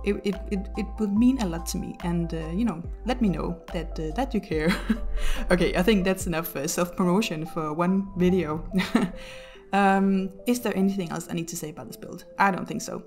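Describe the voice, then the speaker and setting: A Danish woman with a Danish accent, talking through her build in a casual voice-over at home, halting with many ums and self-deprecating laughs. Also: lowish voice, darkish tone, soft background music. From Denmark. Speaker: YouTuber narrating a build video.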